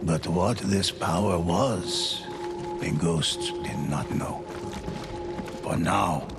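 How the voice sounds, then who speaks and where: Solemn storyteller for a samurai fantasy game: low, gravelly, measured and dramatic. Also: male voice, full character voice. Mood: solemn storytelling. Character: grave male storyteller of samurai ghost legends. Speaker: storyteller narrator. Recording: loud music under the voice. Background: loud game soundtrack music.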